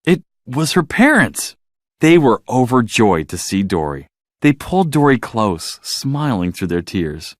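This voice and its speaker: Male storybook narrator for children: dramatic, highly animated delivery at a middle pitch, recorded dry. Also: a little slow, no echo.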